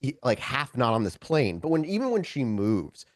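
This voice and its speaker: A man runs a tabletop roleplaying game in a very dry-sounding space. His pitch moves around a lot as he describes scenes conversationally, stopping for dramatic pauses and rewording as he goes. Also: very animated, no echo. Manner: halting, self-correcting, dramatic pauses. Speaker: storyteller, game master of a tabletop roleplay show.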